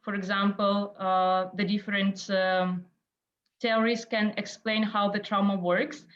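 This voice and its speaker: A woman whose first language is Russian gives an online lecture in a second language. She pauses often and searches for words. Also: mid-range voice, level tone, fairly bright tone. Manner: halting, searching for words, many uh and um. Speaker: guest lecturer. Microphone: video call. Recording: dull lo-fi call audio.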